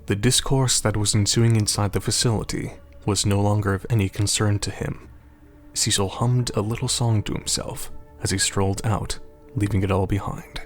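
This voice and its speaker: A man narrating an audio drama in the third person in a calm, steady way. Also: on the low side.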